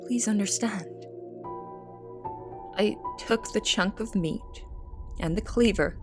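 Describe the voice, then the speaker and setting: A woman's voice: medium pitch, slow pace, over music, lightly acted. A woman playing an anxious young cook in an audio drama, narrating and speaking with confessional, dramatic intensity. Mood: haunted, uneasy. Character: anxious young female cook in a big house. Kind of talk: audio drama first-person narration and dialogue.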